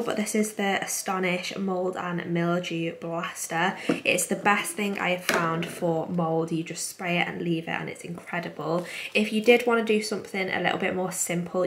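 A young woman with a slightly low voice talks her viewers through her home in a relaxed, chatty way, peppering her lines with ums and self-deprecating asides. She is recorded on a camera mic, with a faint hum of room noise underneath. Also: vocal fry. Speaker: homemaking vlogger. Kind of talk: casual clean-with-me chat. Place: home kitchen and bathroom indoors.

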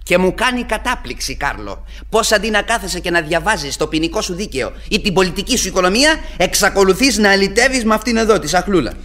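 A cynical young student in a radio play. His voice sits slightly high. He talks in a biting, scolding way, like a sardonic friend giving warnings. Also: very animated, fairly bright tone. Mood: scornful. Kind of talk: Greek radio theatre acting.

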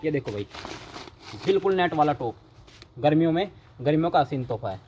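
A young man hosting a vlog, walking through a large warehouse and pitching clothing stock with eager salesman patter, filmed on a handheld phone. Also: lively intonation, fairly quick, warm tone, highs cut off, a little hiss or hum. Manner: eager salesman patter, repeatedly urging viewers to look. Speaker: vlogger showing wholesale clothing stock. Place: large indoor warehouse full of clothing bales.

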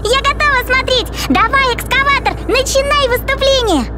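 A woman voicing a curious, excitable little girl sidekick in a children's cartoon, exclaiming and asking questions. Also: extremely high pitch, normal speed. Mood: excited. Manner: exclamatory, inquisitive. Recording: noisy engine sounds behind.

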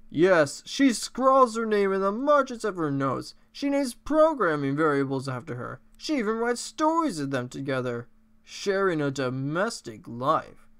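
A flamboyant robot showman hosting a game show. The voice leaps up and down in pitch. It is campy, theatrical and loud, drawing out words like a video game villain. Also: clear, resonant tone, full character voice. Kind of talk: video game character impressions.

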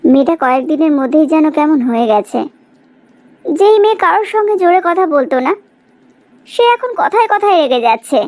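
A caring mother acted by a woman in a cartoon drama. She speaks in a very high voice, earnest and full of concern. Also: lively intonation, brisk, long pauses, a little mellow, no room sound. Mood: worried. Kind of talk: animated cartoon drama dialogue.

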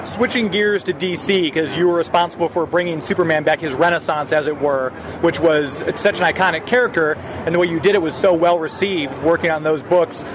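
A man's voice: a little high, a little fast, few pauses, background noise. A man hosting a podcast interview, asking questions in an eager, chatty way. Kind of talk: casual interview. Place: convention floor.

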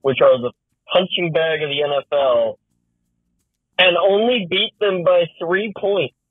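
A man's voice: high voice, unhurried, breathy edge, no echo. A man leaving a blunt, complaining voicemail to a sports podcast, heard over a phone line. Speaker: voicemail caller.

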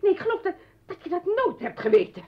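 An actress playing an exasperated, accusing mother confronting her ex-husband in a radio play, with a husky voice. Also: very high voice, big pitch swings, quick pace. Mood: bitter, scornful. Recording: dull old radio recording.

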